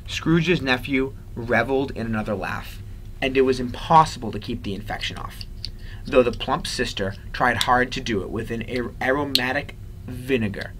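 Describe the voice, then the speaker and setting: A man reading a story aloud as a teacher, with expressive narration. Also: medium pitch, moderate pace, slight background noise, poor audio.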